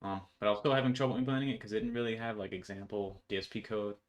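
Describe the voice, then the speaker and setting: A man's voice: lowish voice, steady tone, very fast. A young man giving an informal code walkthrough in an offhand, slangy way. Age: young adult. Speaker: programmer presenting his project. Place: indoor room at home.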